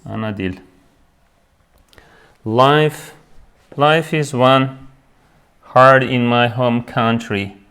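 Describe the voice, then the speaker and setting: A man's voice: mid-range voice, a bit bright, clean tone. A man teaching a beginner English grammar lesson, switching into to translate and checking "right?" after each point. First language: Turkish. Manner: slow, repeats each word, checks with "right?"; switches between English and Turkish.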